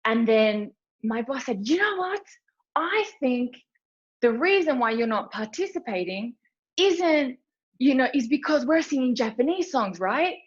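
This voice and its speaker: A woman's voice: high voice, big pitch swings, measured pace, husky. An Australian woman giving a chatty, informal webinar presentation, telling stories with lots of fillers. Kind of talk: casual presentation talk. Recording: dull lo-fi webinar audio.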